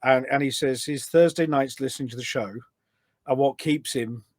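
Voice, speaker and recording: A man's voice: mid-range voice, moderate pace, no echo. Chatty man with a dry, close sound. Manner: chatty and rambling, acts out quoted remarks. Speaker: podcast guest and community radio show presenter. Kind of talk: casual chat, telling anecdotes.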